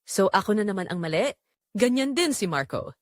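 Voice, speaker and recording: A woman's voice: medium pitch, animated, a little fast, dry recording, studio quality, natural voice. A woman with a Filipino accent voicing a defiant, proud mistress in a story, brazen and defensive. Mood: defensive, irritated.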